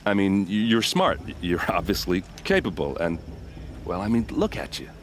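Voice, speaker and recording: A swaggering soldier from a fantasy game with a very low voice. He talks in a jaunty, teasing, flirtatious way, friendly and sure of himself. Clear outdoor ambience sits behind him. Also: very animated, normal speed, a little hiss or hum. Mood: earnest, flirting. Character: brash, flirtatious Vanguard warrior captain, cocky but friendly. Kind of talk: naturalistic video game dialogue acting.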